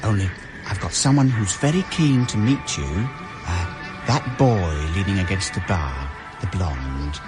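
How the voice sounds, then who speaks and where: An actor playing a discreet, insinuating go-between in a bar, confidential and coaxing, in a radio drama. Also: male voice, low-pitched, sing-song, a little slow, poor audio. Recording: loud music behind the voice.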